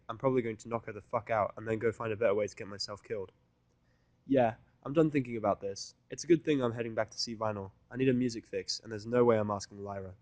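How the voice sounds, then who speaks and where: A man reading first-person fan fiction as an audiodrama in a sardonic, grumbling, deadpan manner. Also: fairly low pitch, no room sound. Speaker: audiodrama reader.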